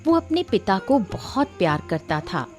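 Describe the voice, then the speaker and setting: A woman's voice: mid-range voice, sing-song, moderate pace. A woman narrator reading a scripted story in a storytelling style. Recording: music under the narration.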